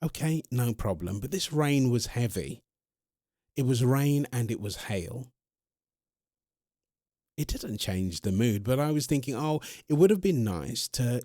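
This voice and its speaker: A man acting as a smitten male vampire talks straight to his listener in an audio roleplay. His mid-pitched voice rises and falls with lots of animation. The delivery is chatty and conversational, and the sound is very dry, with no room around it. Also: big pitch swings, moderate pace, warm tone, no echo. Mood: animated storytelling. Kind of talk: scripted first-person audio roleplay storytelling.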